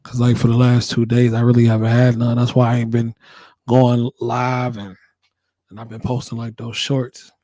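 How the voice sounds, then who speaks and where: An American man hosting a casual livestream, laid-back and slangy, repeating his phrases, with a voice hoarse from a cold he is getting over. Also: mid-range voice, monotone, normal speed, dark tone, no echo. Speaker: livestream host, working nurse.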